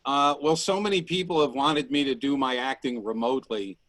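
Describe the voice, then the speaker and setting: A man talking casually on a video call, telling stories in a dry, joking, halting way. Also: medium pitch, fairly bright tone, no room sound. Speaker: actor on a post-show panel. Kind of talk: casual storytelling. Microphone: video call microphone.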